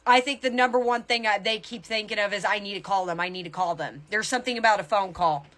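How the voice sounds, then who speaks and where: A woman's voice: medium pitch, fast, a bit bright. A woman tarot reader talking casually and candidly to her viewers through an intuitive reading, with emphatic asides.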